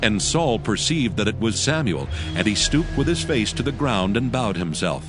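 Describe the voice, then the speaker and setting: A man's voice: on the low side, very animated, moderate pace. A man narrating scripture from a script in a solemn style. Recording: music bed behind the voice.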